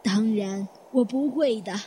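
Woman playing a courteous elderly lady, higher-pitched voice. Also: dark tone, slight background noise, close to an everyday voice. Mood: reassuring, polite. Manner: polite, measured. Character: courteous, composed elderly female hotel guest, nearly seventy. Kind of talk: Chinese audio drama acting.

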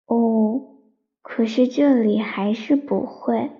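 A woman playing a young, romantic, dreamy student in a radio drama, telling her love story in a soft, sentimental, unhurried way. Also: on the high side. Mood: helpless. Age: young adult. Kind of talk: radio drama narration and dialogue. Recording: dull lo-fi recording with piano music. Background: piano music.

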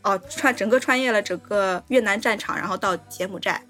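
A woman's voice: medium pitch, brisk, pushed and bright, soft background music. A woman co-hosting a casual film discussion, going step by step with repeated linking words. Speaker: podcast co-host.

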